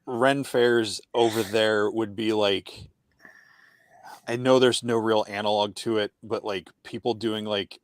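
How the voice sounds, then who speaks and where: A man hosting a podcast who asks questions in a halting, filler-heavy way. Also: fairly low pitch, steady tone, no echo. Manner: hesitant, many fillers and restarts, asks questions. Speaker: podcast host. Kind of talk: casual podcast conversation.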